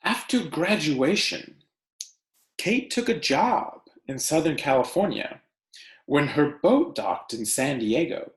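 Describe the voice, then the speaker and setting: A man teacher reading aloud to children, with deliberate storybook phrasing and casual delivery. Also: a little high, reverberant.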